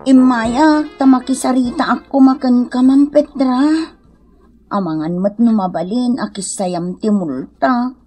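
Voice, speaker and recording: A woman with an Ilocano accent playing a distraught, sharp-tongued village mother in debt, wailing and pleading melodramatically in a radio comedy drama. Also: fairly high pitch, unhurried, husky, faint music behind.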